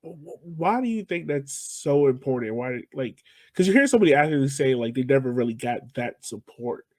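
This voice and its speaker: A man's voice: medium pitch, expressive, moderate pace, a little mellow, dry recording. A man hosting a podcast in an informal, conversational way. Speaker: podcast host. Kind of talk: casual interview chat.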